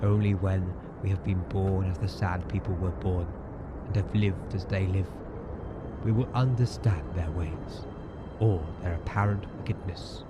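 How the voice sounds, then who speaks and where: A man's voice: low voice, fairly even intonation, very noisy. A man playing a grave narrator of a field report from an alien desert, with solemn, ornate, dramatic delivery. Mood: solemn, ominous. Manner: solemn, ornate, deliberate phrasing. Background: loud music and effects.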